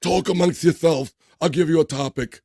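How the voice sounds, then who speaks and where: A hoarse man putting on a gushing middle-aged woman who hosts a talk show. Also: a little high, moderate pace, dark tone, dry recording, studio quality, voice pushed up. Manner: drawling, emotional, theatrical.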